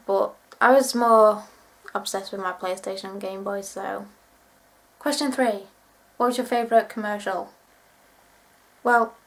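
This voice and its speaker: A twenty-year-old woman vlogging to camera, chatting in a rambling, self-deprecating way while sick with a cold and cough. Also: medium pitch. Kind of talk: casual chat to camera.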